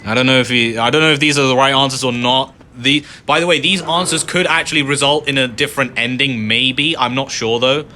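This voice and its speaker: Chatty young man with a bright voice. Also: medium pitch, fairly even intonation, brisk, hardly any pauses, a little hiss or hum. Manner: chatty, rambling, many fillers. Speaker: gaming video host. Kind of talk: casual let's-play commentary.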